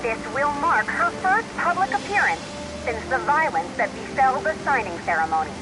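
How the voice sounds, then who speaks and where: An actress playing a formal radio newsreader delivering a scripted bulletin in a video game. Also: female voice, sits high, sing-song, moderate pace. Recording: noisy radio broadcast with engine ambience.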